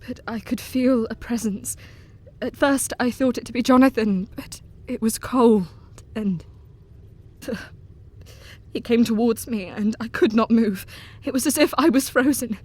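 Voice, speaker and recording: An actress playing a haunted young wife in an audio drama, who speaks in a halting, trembling way. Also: female voice, on the high side, darkish tone.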